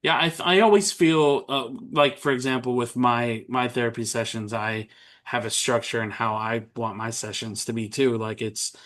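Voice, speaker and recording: A man's voice: mid-range voice, steady tone, normal speed, fairly bright tone. A man hosting a casual interview, talking in long, rambling turns and often restarting his questions. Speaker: podcast host.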